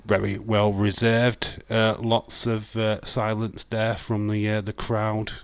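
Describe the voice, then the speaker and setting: Broad Yorkshire male streamer, lowish pitch, dry close mic, loose chatty delivery with frequent fillers. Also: monotone, takes it slowly, clean tone, dry recording. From Yorkshire, England. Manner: chatty, reads viewer comments aloud, swears, many ums. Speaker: livestream host. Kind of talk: casual live watch-along chat.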